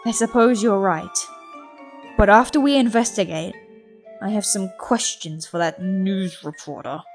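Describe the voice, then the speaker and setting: A woman's voice: medium pitch, measured pace, faint music behind, natural voice. A woman voicing a hot-tempered male cartoon rabbit hero, indignant and emphatic, in a story reading. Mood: grudging.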